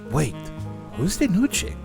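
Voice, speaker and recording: An actor playing a large, flustered bird-folk bard with an awkward, excitable manner. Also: male voice, on the high side, unhurried, creaky, music in the background, full character voice. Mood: smitten.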